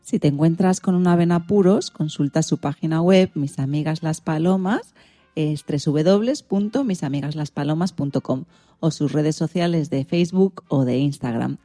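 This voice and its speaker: A woman's voice: deep voice, moderate pace, mellow, faint music behind. A woman hosting a radio show, presenting semi-scripted segments in an orderly way.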